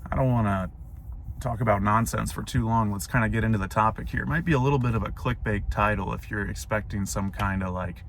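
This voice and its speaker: A young man with a hoarse, fading voice, talking casually and reflectively to camera from his parked car with plenty of fillers. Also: fairly low pitch, brisk, fairly bright tone, slight background noise. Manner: rambling, reflective, many fillers. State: hoarse, voice going out. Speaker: vlogger.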